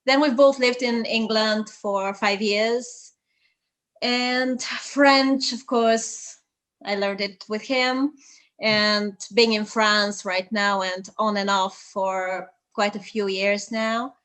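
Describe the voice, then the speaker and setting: A woman's voice: mid-range voice, fairly even intonation, slow, fairly bright tone. A woman whose first language is Bulgarian, co-hosting a casual interview about languages and explaining her own language background. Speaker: co-host. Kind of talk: casual video call interview.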